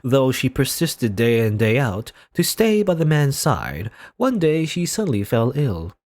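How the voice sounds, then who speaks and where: Man narrating a visual novel with lively pitch. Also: unhurried. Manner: dramatic reading, rambling asides with fillers. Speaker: let's player. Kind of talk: reading a visual novel aloud with commentary.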